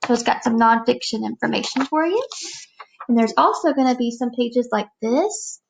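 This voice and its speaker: A woman's voice: a little high, expressive, normal speed, creaky, slight background noise, low-quality recording. A woman teacher speaking to young children in a read-aloud lesson, explaining things in simple phrases. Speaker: elementary school teacher.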